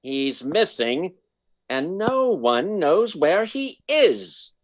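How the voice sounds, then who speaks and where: An actor playing an agitated, accusing old rich man in a radio detective drama, halting and melodramatic. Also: sits high, big pitch swings, no echo, heavily acted. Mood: impatient. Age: older.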